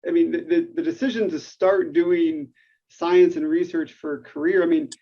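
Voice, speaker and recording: A man hosts an interview and often stumbles, repeating words before he gets a question out. His voice is gravelly and a little high, and the recording sounds dull and lo-fi. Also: fairly even intonation, normal speed. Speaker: podcast host. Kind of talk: hosting an interview.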